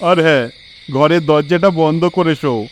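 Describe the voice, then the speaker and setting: A man's voice: a little high, measured pace, natural voice. A man playing a mysterious, commanding and reassuring mature forest healer in a cartoon horror story. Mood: kindly, advising.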